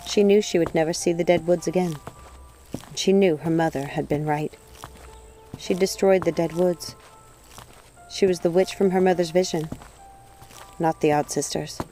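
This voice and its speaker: A woman reading a storybook aloud as narrator, in an expressive, dramatic way. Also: lowish voice. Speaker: audiobook narrator.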